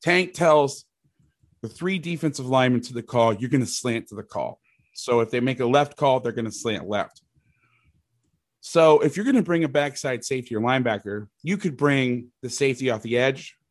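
A middle-aged man, a football coach with a loud voice, talking through defensive schemes in a rambling, casual way, often restarting his sentences. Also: mid-range voice, normal speed, dry recording, clean studio sound. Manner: rambling, restarts sentences, occasional mild swearing. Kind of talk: casual podcast chat, explaining defensive schemes.

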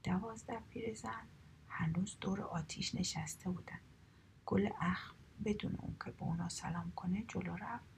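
Woman storyteller whispering a fairy tale, slow and soft, with a gravelly edge. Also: medium pitch, fairly even intonation, slight background noise.

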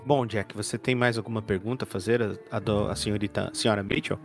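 A man voicing a polite, probing male detective in a tabletop game, formal and deliberate. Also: fairly low pitch, fairly even intonation, faint music behind, lightly acted. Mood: measured.

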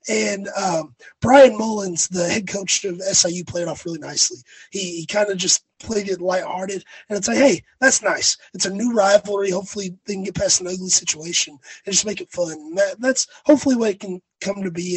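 A young man co-hosting a sports podcast, talking casually with a hoarse, raspy voice after being sick, rambling and correcting himself. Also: sits high, no room sound, poor audio. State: hoarse, raspy from recent illness. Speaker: podcast co-host.

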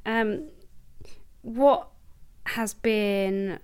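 A young woman hosting a podcast, asking questions and chatting in a relaxed way with fillers and soft laughs. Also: medium pitch, very slow, clear, resonant tone. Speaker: podcast host. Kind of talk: casual interview chat.

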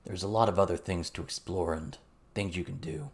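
A man voicing a calm, weary soldier who speaks in a measured, grave, matter-of-fact way. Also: deep voice. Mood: cautioning.

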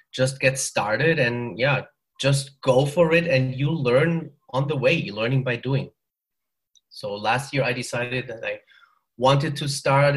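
German guy in his 40s on a podcast, mid pitch, explains things calmly with little restarts. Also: rough recording. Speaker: podcast guest. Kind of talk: casual interview chat. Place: hot room at home.

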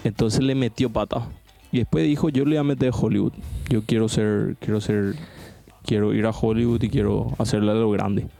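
A man tells a rambling, slangy story on a podcast among friends. His voice is mellow and soft-edged, and he drives the point home by repeating himself. Faint music runs underneath. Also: lowish voice, steady tone, normal speed, gravelly. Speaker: podcast host. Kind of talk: casual chat among friends.

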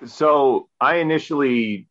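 A man's voice: mid-range voice, slow pace, fairly bright tone, dull audio. A thirty-year-old man, a guest on a round-table podcast, talking earnestly and rambling a little. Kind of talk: casual round-table talk.

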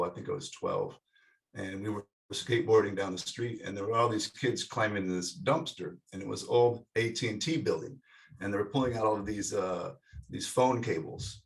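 A man on a video call, telling a childhood story in a relaxed, reflective way. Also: fairly low pitch, far from the mic. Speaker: guest. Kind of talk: casual conversation.

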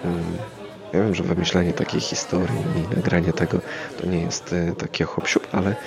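A man's voice: low voice, level tone, moderate pace, creaky, over music, crowd noise. A man hosting a casual solo podcast, rambling hesitantly as he thinks aloud. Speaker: podcast host.

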